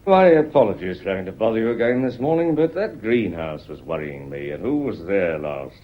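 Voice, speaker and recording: An actor playing a British police inspector in a radio drama, deceptively polite, sly and probing, with a deferential, falsely casual manner. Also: male voice, on the low side, big pitch swings, brisk, highs cut off. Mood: insinuating, polite.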